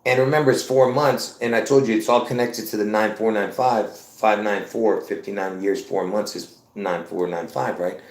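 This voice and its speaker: A man's voice: fairly low pitch, fairly even intonation, normal speed, few pauses. A man in his fifties hosting a live stream, talking in a rambling, insistent way and repeating himself as he addresses viewers as folks. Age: 50s. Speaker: livestream host. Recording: roomy echo.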